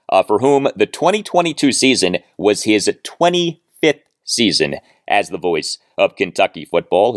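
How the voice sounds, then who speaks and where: Emphatic male sports podcaster, very animated pitch. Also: no room sound, clean studio sound. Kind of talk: scripted introduction and interview questions.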